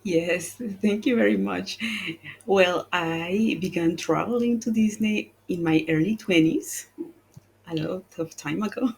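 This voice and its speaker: A woman in her forties whose first language is Spanish, speaking as a panel guest in an unhurried way, often laughing and searching for words. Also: on the low side, creaky, darkish tone, slight background noise. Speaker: travel agent, panel guest. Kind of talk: casual panel chat.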